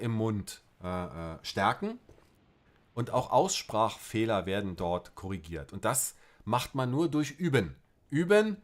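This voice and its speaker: A middle-aged man with a Berlin accent chatting casually on a livestream, rambling with many fillers and restarts. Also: mid-range voice. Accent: Berlin German. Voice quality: rambling, filler-heavy, colloquial. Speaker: livestream host.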